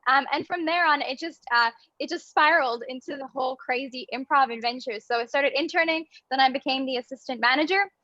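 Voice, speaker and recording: A young woman from Belgium chats through a video call in an upbeat, bubbly way, with a light laugh slipping between phrases. Her voice sits high and sounds bright and crisp. The audio is dry but a little dull, as a call line is. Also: a little fast, few pauses, no room sound. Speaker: guest, improv theater artistic director. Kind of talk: casual interview chat.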